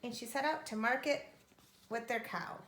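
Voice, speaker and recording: A woman reading a children's story aloud with expressive narration. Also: a little high, normal speed. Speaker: storybook reader. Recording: echoey room.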